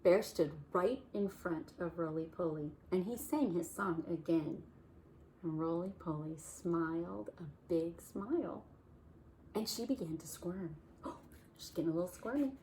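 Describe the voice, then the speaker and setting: A woman reading a picture book aloud to children, gently asking questions about the pictures. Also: fairly low pitch, a little slow, a little hiss or hum, rough recording. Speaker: children's story reader. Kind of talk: storytime read-aloud for kids.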